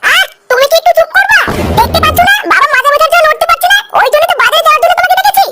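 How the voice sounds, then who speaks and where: High-pitched, brisk young daughter-in-law played by a woman. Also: over loud music. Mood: scolding. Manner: attentive to elder, sharp-tongued scolding. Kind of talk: Bengali comedy cartoon dubbing.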